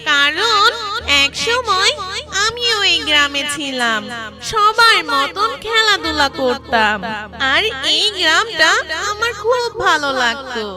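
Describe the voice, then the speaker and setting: A woman voicing an eerie but gentle, lonely young female tree ghost in a cartoon, with a drawn-out, plaintive delivery over music. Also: extremely high pitch, heavily acted. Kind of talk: cartoon character voice acting. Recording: music playing behind the voice.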